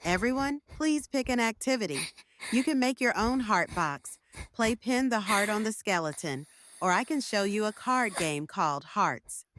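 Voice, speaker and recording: A woman voicing a kind, reassuring schoolteacher in a storybook, speaking in a soothing, deliberate way. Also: mid-range voice, expressive, fairly bright tone, slight background noise, natural voice. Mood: encouraging.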